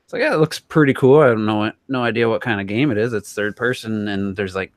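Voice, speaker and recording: A man with a fairly low voice hosts a relaxed, informal podcast discussion about games. The recording is very dry, with almost no room sound. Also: quick pace, no room sound. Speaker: podcast host. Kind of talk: casual gaming podcast discussion.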